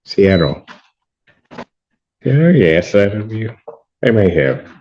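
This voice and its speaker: A middle-aged man presiding as a judge in a courtroom hearing, joking and teasing, then brisk and firm. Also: on the low side, big pitch swings, a little mellow, lo-fi sound.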